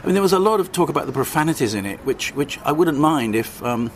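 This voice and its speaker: An older man, a longtime journalist, answering interview questions hesitantly, with many pauses and restarts and a wry edge. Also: a little high, lively intonation, slight background noise. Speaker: journalist guest.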